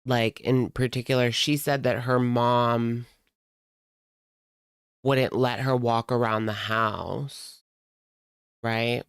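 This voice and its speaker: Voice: medium pitch, steady tone, slow pace, pauses often, clear, resonant tone, no echo, clean studio sound. A streamer giving casual live commentary in a blunt, sarcastic, conversational way. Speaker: reaction streamer.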